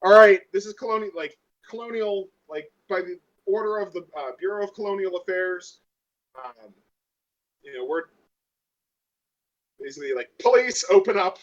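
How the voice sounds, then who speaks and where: A man's voice: high voice, moderate pace, pauses often, highs cut off, voice pushed up, close to an everyday voice. A man playing a flustered but authoritative female colonial marshal, stumbling through improvised lines. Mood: commanding, flustered.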